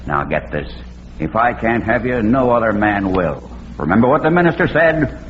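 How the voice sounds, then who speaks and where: Menacing jealous ex-husband, muffled radio. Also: male voice, lowish voice, sing-song, moderate pace. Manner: accusing, menacing. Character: jealous, bitter, threatening farmer ex-husband. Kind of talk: old-time radio drama acting. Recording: muffled, noisy, echoey vintage radio recording.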